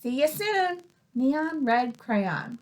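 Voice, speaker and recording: A woman's voice: high-pitched, sing-song, very slow, exaggerated voice. A woman voicing a cheerfully clueless globe-trotting crayon in a children's storybook. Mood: breezy, clueless. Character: breezy, confused globe-trotting red crayon.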